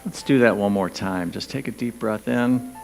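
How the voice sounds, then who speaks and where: A man leading a guided meditation, speaking gently and soothingly in short, spaced phrases with pauses. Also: fairly low pitch, gravelly. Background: background music.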